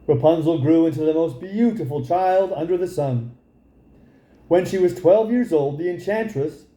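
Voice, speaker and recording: A man reads a fairy tale in a very mellow, high-set voice for a male. He takes his time over the storybook narration, and the room adds a clear echo. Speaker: storybook reader.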